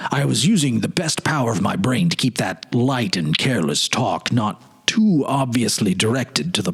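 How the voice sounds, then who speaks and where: A man playing a weary, haunted politician of forty in a storybook reading, confessing his regrets in a halting, sorrowful, dramatic way. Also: on the low side, creaky, a bit bright, slightly rough, full character voice. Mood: anxious, confiding. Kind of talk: dramatic literary audiobook reading.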